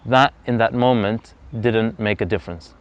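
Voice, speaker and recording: Earnest man, smooth low voice. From Zambia. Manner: earnest, reflective, measured. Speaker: interview guest. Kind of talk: personal story in an interview. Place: outdoors on a freezing day. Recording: slightly dull lo-fi outdoor recording.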